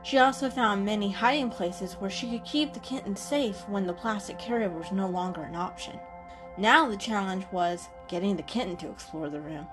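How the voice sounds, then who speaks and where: A woman's voice: medium pitch, normal speed. A woman narrator giving a scripted, dramatic reading of an animal story. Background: music.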